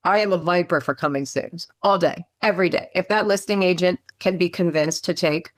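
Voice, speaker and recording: Chatty woman with a low morning voice. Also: brisk, no echo. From Maryland, USA. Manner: chatty, animated storyteller, lots of fillers and asides. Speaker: real estate agent. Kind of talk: casual meeting chat on a video call.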